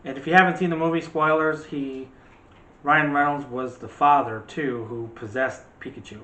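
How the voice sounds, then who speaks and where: A man's voice: fairly high pitch, steady tone, normal speed, bright, forceful tone. A man hosting a casual movie discussion with playful, teasing banter. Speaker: host of a movie channel. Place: indoors in an echoey room. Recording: echoey room with faint background noise.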